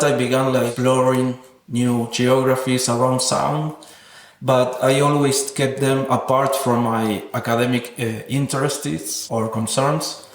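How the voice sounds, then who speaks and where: Reflective man in an echoey room. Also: mid-range voice. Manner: thoughtful, academic wording. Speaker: interviewed sound artist. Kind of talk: reflective interview talk about own work. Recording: echoey, roomy sound.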